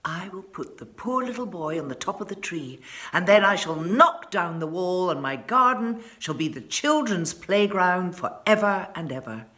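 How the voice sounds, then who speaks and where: A woman's voice: on the low side, big pitch swings, moderate pace, pushed and bright. A woman voicing a tender, remorseful male giant from a storybook, speaking emphatically and earnestly. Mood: earnest, generous.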